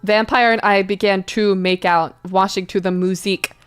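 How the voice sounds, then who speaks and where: A woman's voice: medium pitch, fairly even intonation, moderate pace, clear, resonant tone, faint music behind. A woman reading a story aloud comedically, with casual banter as a co-reader on a live stream.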